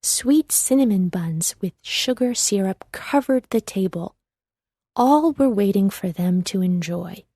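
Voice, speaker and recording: A woman narrating a children's storybook in a measured read-aloud manner. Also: mid-range voice, animated, warm tone, husky, dry recording, studio quality.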